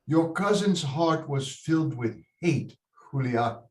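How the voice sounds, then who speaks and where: A man playing a stern, slow-spoken loving father. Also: a little high, animated, a little mellow. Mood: stern, pleading. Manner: deliberate, paternal, slips Spanish words into English. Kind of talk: dramatic table read. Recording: roomy echoing video call.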